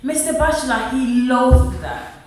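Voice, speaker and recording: A woman acts out an earnest, hard-pressed young mother in a radio drama. Her voice is high and plain-spoken. The sound is strongly reverberant, with her standing back from the mic. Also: animated, unhurried, a little mellow. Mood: troubled. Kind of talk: radio play acting. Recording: very echoey room, distant from the mic.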